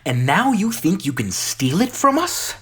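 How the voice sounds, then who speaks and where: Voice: mid-range voice, big pitch swings, measured pace, a bit bright, breathy edge, voice pushed up, full character voice. A performer reciting as an arrogant, spiteful rich young man, a cornered villain with a sneering, entitled manner. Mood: seething. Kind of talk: dramatic recitation of a film scene.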